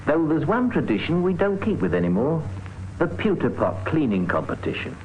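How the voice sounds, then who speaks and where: A man's voice: a little high, sing-song, moderate pace, lo-fi sound. A man playing a chatty publican, proud of his pub, in an old radio drama. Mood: chatty, reminiscing. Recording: noisy old radio recording.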